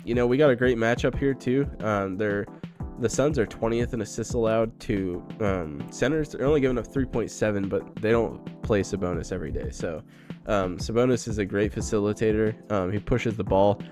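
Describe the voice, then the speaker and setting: A man's voice: on the low side, normal speed, a little mellow, soft background music. A man with a voice worn down by a cold, casually giving his sports betting picks as co-host. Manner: laid-back, says "man" and "like" often.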